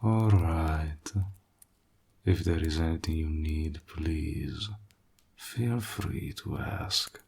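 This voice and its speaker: Man playing a suave warlock, very husky and breathy, crackling with vocal fry, courteous, flirtatious, slyly menacing, intimate roleplay. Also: extremely low pitch, heavily acted. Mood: welcoming, courteous. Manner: soft-spoken, breathy, slow and deliberate, flattering. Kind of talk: intimate audio roleplay acting.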